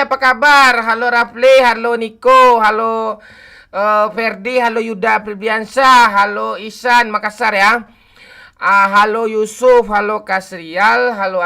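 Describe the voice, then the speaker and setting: Guy in his 40s running a game stream, kinda high voice, bright and punchy, cracks jokes at chat. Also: clear, resonant tone. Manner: joking, teasing banter with chat. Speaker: game livestreamer. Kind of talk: casual livestream chat, greeting viewers. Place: home room at night.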